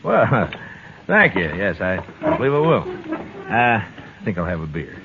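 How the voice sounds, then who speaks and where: An actor playing a calm, laconic, dry-witted US marshal in an old radio western. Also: male voice, fairly low pitch, normal speed, noisy background. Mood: easygoing. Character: steady, dry-witted frontier US marshal, narrating and talking. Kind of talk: 1950s radio western acting. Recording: muffled old radio broadcast, loud music.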